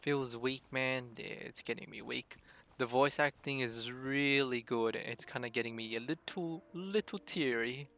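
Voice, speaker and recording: Bright-voiced, slow-talking male game streamer. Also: mid-range voice. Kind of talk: casual live game commentary. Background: game music.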